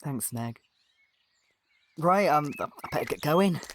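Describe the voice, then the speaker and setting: A man playing a shy, nervous young man in an audio drama, talking haltingly and unsurely. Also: fairly high pitch, lively intonation, fairly bright tone. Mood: tentative. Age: young adult. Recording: noticeable background noise with birdsong.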